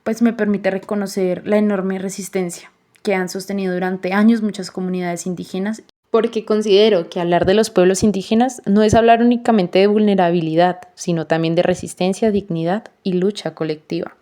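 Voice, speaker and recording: A young woman speaks as a podcast host, reflecting slowly and earnestly. She leans on small fillers as she thinks aloud, and her voice sits in a middling register. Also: medium pitch, steady tone, warm tone. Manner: earnest, measured, many "pues" fillers. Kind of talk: reflective voice-over narration.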